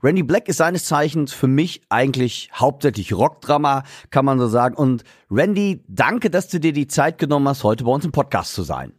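A man's voice: medium pitch, big pitch swings, normal speed, hardly any pauses, breathy edge, dry recording, clean studio sound. A man hosting a casual podcast interview, chatty and loose, with many fillers and restarts. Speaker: podcast host and drummer.

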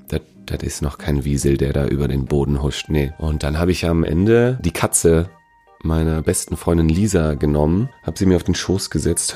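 A man's voice: sits low, warm tone. A man working as a musician answers interview questions in a casual, slangy, joking and teasing way. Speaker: musician and sound designer being interviewed.

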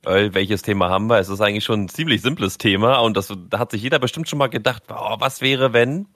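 A man's voice: fairly low pitch, quick pace, fairly bright tone, dry recording, studio quality. A man co-hosting a podcast, talking eagerly and slangily in casual chat and prompting his partner. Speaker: podcast co-host.

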